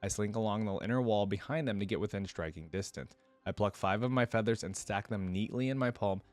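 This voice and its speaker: A man with a fairly low voice reads a first-person fantasy tale aloud. He hosts it as a reaction, with a casual way of commenting on what he reads. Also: dry recording. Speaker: reaction video host.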